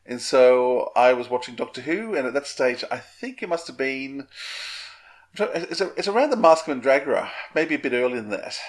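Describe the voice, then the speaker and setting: A man's voice: medium pitch, expressive, moderate pace. A man, talking casually as a podcast co-host.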